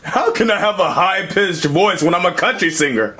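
A man's voice: sits high, animated, normal speed, sharp tone, faint music behind, voice pushed down. A man voicing a laid-back mushroom puppet who calls himself a country singer.

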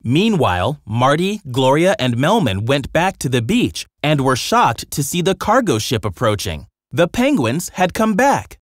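A man's voice: on the high side, sing-song, unhurried, few pauses, no room sound, studio quality. A man narrating a scripted children's story with dramatic storytelling delivery.

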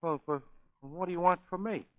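Man acting high-voiced, dim-witted boastful ballplayer. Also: natural voice. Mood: rattled, defensive. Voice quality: uneducated tough-guy diction. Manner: slow-witted, halting. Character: dim, boastful, childlike baseball pitcher, loyal to his pal. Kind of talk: 1930s radio acting. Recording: muffled old 1930s radio recording.

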